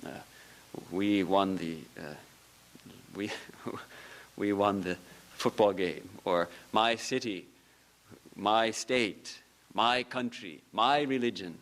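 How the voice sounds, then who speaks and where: A man with a fairly low voice gives a temple lecture as a monk. He tells small stories with soft laughter and builds his points through earnest repetition. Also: slow pace. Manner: storytelling, with soft laughs and earnest repeated phrases. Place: temple hall.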